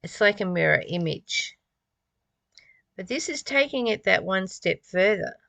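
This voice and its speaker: A woman's voice: medium pitch, lively intonation, unhurried, vocal fry, bright, forceful tone, dry recording. A woman reading spiritual text aloud and commenting on it informally in a reflective, musing way, often posing rhetorical questions. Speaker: spiritual study reader and commentator.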